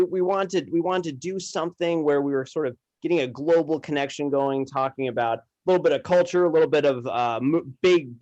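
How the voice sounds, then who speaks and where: A man chatting casually as a podcast guest, often repeating words in a halting way. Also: medium pitch, darkish tone, no room sound, lo-fi sound. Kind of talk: casual chat.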